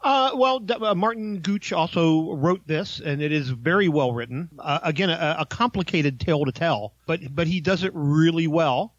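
A man's voice: a little high, moderate pace, few pauses, no room sound, muffled recording. A man giving a casual, informal film review, talking in a hesitant, rambling way.

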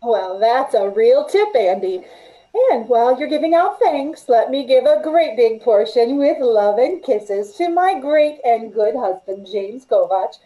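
A woman's voice: fairly high pitch, animated, measured pace, mellow, low-quality recording. A middle-aged woman, an actress, making scripted curtain-call chat on a radio show over a video call. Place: room at home, on a video call.

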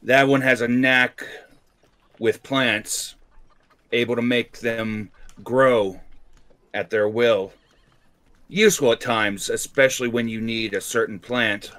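Slow, measured man roleplaying. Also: mid-range voice, steady tone, fairly bright tone. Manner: measured, deliberate phrasing. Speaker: tabletop game player. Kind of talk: in-character roleplay and description.

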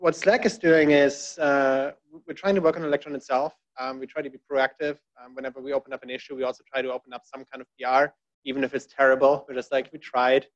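Casual man giving a meetup talk. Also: mid-range voice. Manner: conversational, many fillers, wry asides. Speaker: conference speaker. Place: dark presentation room. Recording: dull lo-fi room recording.

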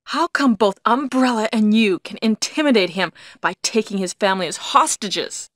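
An actress playing a brave young heroine in a video game, earnest and deliberate, with stilted delivery. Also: female voice, medium pitch, lively intonation, no room sound, close to an everyday voice. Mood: accusing. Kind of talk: video game cutscene acting.

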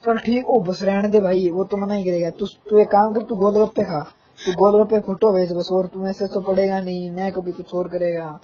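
A man's voice: high voice, little pitch movement, moderate pace, a little hiss or hum. A young man on a casual phone call, ranting insistently with playful threats in a nagging manner. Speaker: caller, gaming fan. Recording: muffled lo-fi phone call recording.

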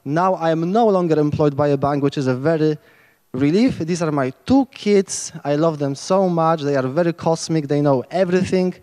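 Polish-accented man, high voice, rambling and eager, handheld mic, long reverb. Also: moderate pace, warm tone. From Poland. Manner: rambling, enthusiastic, many restarts. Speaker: lightning talk speaker. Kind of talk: informal conference talk. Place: large tent stage at hacker camp.

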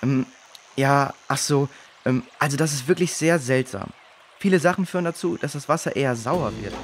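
A man's voice: medium pitch, normal speed, close to an everyday voice. A man playing a puzzled, uneasy boy researcher in a children's audio drama, speaking earnestly and hesitantly.

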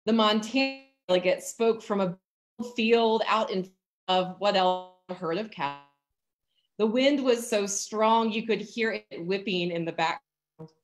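A woman in her thirties with an American accent gives a sermon in measured, deliberate phrases with emphatic pauses, over a video call from a room at home. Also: medium pitch. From Virginia, United States. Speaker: pastor. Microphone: computer mic on a video call.

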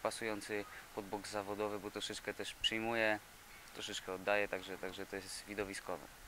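A young male boxer in his twenties from Poland, answering interview questions haltingly with many fillers and repeated words, recorded on a handheld mic in an indoor gym. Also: lowish voice, flat delivery, sharp tone, a little hiss or hum. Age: 20s. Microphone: handheld reporter mic.

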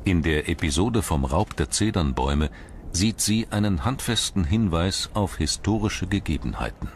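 A man reading scripted documentary narration in a measured, formal manner. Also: deep voice, animated, a little hiss or hum.

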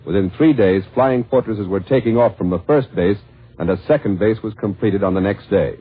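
A man's voice: lowish voice, moderate pace, breathy edge. An American man anchoring a scripted 1940s radio newscast. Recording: tinny narrow-band 1940s radio recording.

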